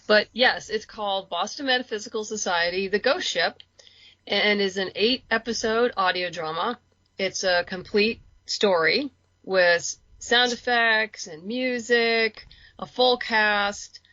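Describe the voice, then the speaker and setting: A woman's voice: mid-range voice, measured pace, bright, forceful tone, no echo. A woman chatting casually as an interview guest, talkative and a little self-deprecating. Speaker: podcast guest, writer and producer. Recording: dull lo-fi voice track.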